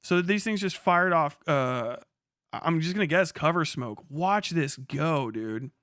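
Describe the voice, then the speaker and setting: A man's voice: fairly high pitch, animated, measured pace, fairly bright tone, no echo, clean studio sound. A man giving casual, off-the-cuff livestream commentary as a military veteran, slangy and full of swearing. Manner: profane, slangy.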